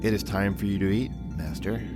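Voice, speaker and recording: A man's voice: fairly low pitch, fairly quick, over loud music, lightly acted. A man playing a meek, servile monk in an audio drama, with a pleading, deferential manner.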